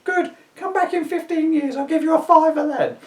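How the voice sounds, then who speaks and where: A man plays a very old, frail lady from a comic children's storybook. The voice is high and shrill, with a plaintive, dramatic delivery. It echoes in the room, a little away from the microphone. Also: normal speed. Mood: bargaining. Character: frail, pleading hundred-and-three-year-old woman pensioner. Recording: roomy echo, distant microphone.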